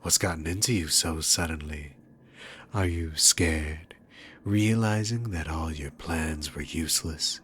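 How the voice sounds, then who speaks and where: A deep-voiced demon king acted by a man in an ASMR roleplay, taking his time over every taunt. He is sarcastic and dominant, talking down to the listener with smug condescension. Clear background noise sits behind the voice. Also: a bit bright. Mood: teasing, dominant. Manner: mocking, condescending, unhurried, punctuated by chuckles.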